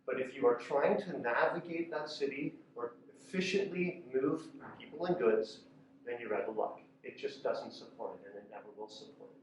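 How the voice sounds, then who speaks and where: A man's voice: mid-range voice, moderate pace, a little hiss or hum. A man giving a conversational talk with slides to a room, using fillers and restarts as he explains. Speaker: conference speaker. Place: lecture room. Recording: echoey room from far off.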